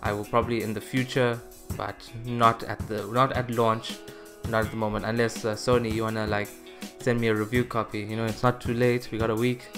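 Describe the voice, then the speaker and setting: A man's voice: fairly low pitch, little pitch movement, fairly quick. A young man giving casual, informal reaction commentary to camera, thinking aloud as he goes. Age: young adult. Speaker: YouTuber reviewing game trailers. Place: small room at a computer desk, indoors. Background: background beat music.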